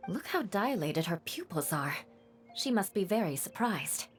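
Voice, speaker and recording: A woman's voice: mid-range voice, very animated, a little slow. A woman voicing an observant, dry young companion in an anime game. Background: game music.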